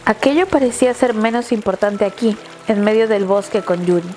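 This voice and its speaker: A woman narrates dramatic fiction in a measured, literary way. Her pitch sits in the middle range for a woman, and music plays faintly behind her. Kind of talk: scripted dramatic story narration.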